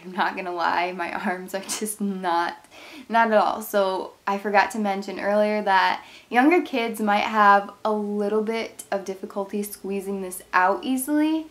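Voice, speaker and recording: Brief: young woman, congested cold voice, chatty and rambling craft vlogger, camera mic in a bedroom. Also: mid-range voice. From Ohio, USA. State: stuffed up, nasal from a cold. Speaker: craft channel host. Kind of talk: casual product review vlog. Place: bedroom, indoors.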